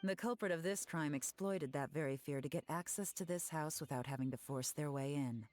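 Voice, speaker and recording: A woman's voice: low-pitched, brisk, darkish tone, soft background music, lightly acted. An actress playing a confident, shrewd, teasing female investigator in a video game, poised and knowing. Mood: storytelling. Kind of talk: video game voice acting, storytelling and deduction.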